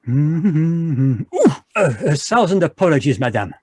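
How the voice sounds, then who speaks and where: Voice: medium pitch, sing-song, unhurried, a little mellow, breathy edge, dry recording, clean studio sound. A performer voicing a fussy, polite, shrewd Belgian male detective with a Belgian French accent, speaking courtly and hesitant in a live radio drama read over a video call.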